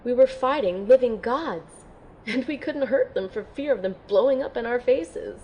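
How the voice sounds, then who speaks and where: A woman playing an earnest, unsure young female recruit in an audio drama, sounding sincere, gentle and tentative. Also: on the high side, animated, moderate pace, lo-fi sound, slight background noise, lightly acted. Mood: anxious, reflective. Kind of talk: audio drama acting and first-person narration.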